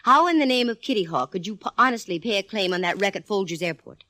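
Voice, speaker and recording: An actress playing a wisecracking private detective who also narrates, in a brisk 1940s radio drama. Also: female voice, mid-range voice, animated, pushed and bright, dry recording, clean studio sound.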